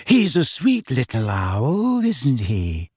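A dreamy teenage girl acted by a man for an audiobook. The voice is soft and airy, drifting along slowly and calmly, with a serene, odd detachment. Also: mid-range voice, slightly rough, no echo, clean studio sound, full character voice. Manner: slow, drifting, unhurried.

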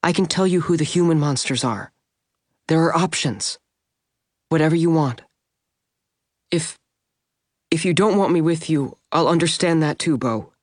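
A man voicing a tender, grieving female vampire who talks softly and pleads as she reassures someone. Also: on the high side, unhurried, long pauses, no echo, studio quality, voice pushed up, close to an everyday voice. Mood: earnest. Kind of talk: audiobook character voice.

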